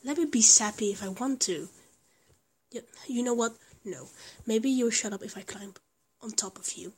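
A woman's voice: a little high, moderate pace, a little mellow. A woman voicing a small, affectionate young lover who whispers, stammers and rambles between giggles. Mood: flustered. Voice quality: breathy whisper. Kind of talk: whispered intimate roleplay audio.

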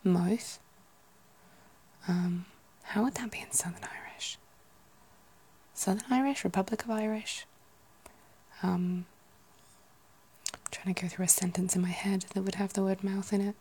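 A woman's voice: mid-range voice, steady tone, moderate pace, long pauses, darkish tone, slight background noise. A woman in her thirties with a British English accent, whispering casual ASMR chat and musing to herself. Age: 30s. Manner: whispering, musing, self-correcting.

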